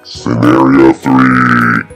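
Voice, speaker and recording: A man's voice: extremely low pitch, monotone, drawn-out words, vocal fry, fairly bright tone, soft background music. A man making short, solemn scripted title announcements.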